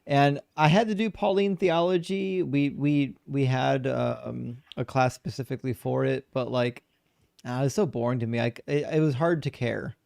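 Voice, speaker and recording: A man hosting a live debate stream, reading out viewer messages in a relaxed, chatty way and laughing often. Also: mid-range voice, moderate pace, vocal fry, no echo, studio quality. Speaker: debate show host. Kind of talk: casual live-stream chat, reading super chats.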